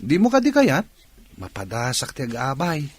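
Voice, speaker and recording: An actor with a Filipino accent playing an eager, confident older brother in a village radio comedy drama. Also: male voice, mid-range voice, wildly swooping pitch, normal speed, breathy edge, dry recording.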